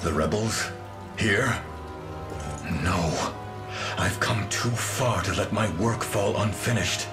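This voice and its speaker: An actor playing a grave, obsessive scholar lord in a fantasy video game, speaking formally and grandly. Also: male voice, fairly low pitch, slow, pauses often, over music. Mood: resolute. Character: grave, driven nobleman obsessed with experiments and cure.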